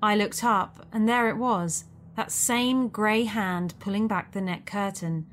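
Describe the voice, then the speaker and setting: A woman's voice: medium pitch, unhurried, a bit bright, soft background music. A woman narrating a spooky first-person story from a script. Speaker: narrator of a creepy true story.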